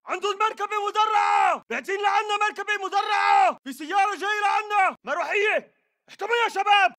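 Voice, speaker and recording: An actor playing an aggressive male militant fighter in a video game, shouting urgent short combat callouts in Levantine Arabic. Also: very high voice. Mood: urgent, alarmed. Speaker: enemy soldier voice.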